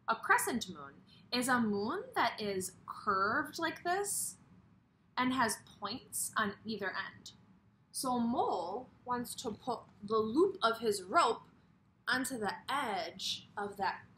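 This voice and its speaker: Woman kindergarten teacher for a read-aloud: slow, bright, highly animated, addressing young children directly. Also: a little hiss or hum.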